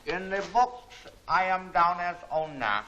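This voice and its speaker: An actor playing a sly, ingratiating bazaar owner in a 1940s film serial, speaking in deliberate, stilted phrases on a muffled old soundtrack. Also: male voice, sits high, animated, sharp tone, a little hiss or hum, heavily acted. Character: sly, ingratiating Chinese bazaar owner, secret underworld informant.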